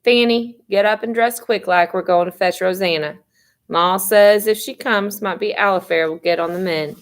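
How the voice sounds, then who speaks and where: A woman's voice: medium pitch, normal speed, close to an everyday voice. Female reader as storybook older brother, mountain lad, urgent, clipped, commanding.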